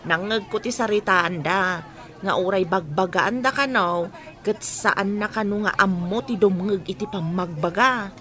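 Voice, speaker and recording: Woman as gossipy maid, fussy and inquisitive, forceful bright tone, Ilocano accent, radio comedy. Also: medium pitch, measured pace, lightly acted. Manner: nagging, inquisitive. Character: fussy, gossipy household maid, cheeky with young mistress.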